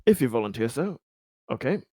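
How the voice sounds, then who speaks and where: A man's voice: mid-range voice, sing-song, brisk, mellow, husky, dry recording, studio quality. A man playing in a tabletop roleplaying game, speaking formally and hesitantly as a leader.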